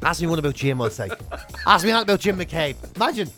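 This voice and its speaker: A man's voice: a little high, lively intonation, quick pace, bright, forceful tone, soft background music. A middle-aged Irish man chatting casually as a comic podcast host, joking, self-mocking and freely swearing. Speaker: podcast and radio host, comedian.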